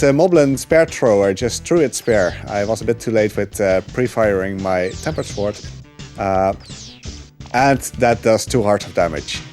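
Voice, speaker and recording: A man giving relaxed live commentary on his speedrun, explaining the route with frequent fillers. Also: mid-range voice.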